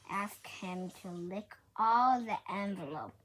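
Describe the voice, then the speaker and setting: A woman reading a children's book aloud in a halting way, often repeating and correcting her words. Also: mid-range voice, animated, a bit bright, rough recording. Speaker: storybook reader. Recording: faint background noise.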